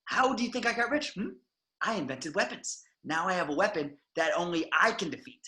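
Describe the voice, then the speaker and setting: A man's voice: sits high, expressive, brisk, sharp tone. A man playing a gloating young supervillain with a grudge in a script read-through, boastful and theatrical. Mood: resentful, smug. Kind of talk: online table read of a film script.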